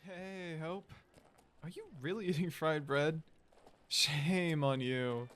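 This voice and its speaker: A man's voice: fairly high pitch, steady tone, slow, a bit bright. A man playing a kind, playful teenage cheerleader boy in an audio drama. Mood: teasing.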